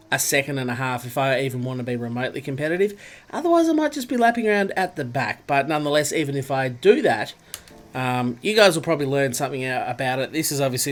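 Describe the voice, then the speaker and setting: Australian man, stuffy nasal voice, live-stream host, chatty, greets viewers by name, mid pitch. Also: a bit bright. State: congested, nasal from a cold. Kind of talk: casual live-stream chat over gameplay.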